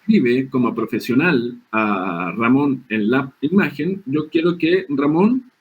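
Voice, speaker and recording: A man hosts a professional program and speaks directly to his audience. He talks haltingly, filling his pauses with "eh." His voice sits at an ordinary middle pitch. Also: expressive, breathy edge, phone-quality sound. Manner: hesitant, many fillers, addresses the audience. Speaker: host. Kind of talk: hosting a professional talk show.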